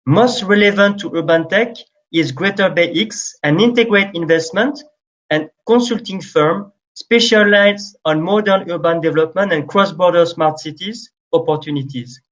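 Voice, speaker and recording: Webinar moderator, male, higher voice, formal scripted delivery, muffled video-call sound. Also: normal speed, fairly bright tone. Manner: formal, reading from notes. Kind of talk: scripted introduction. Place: indoor room, video call. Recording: dull lo-fi call audio.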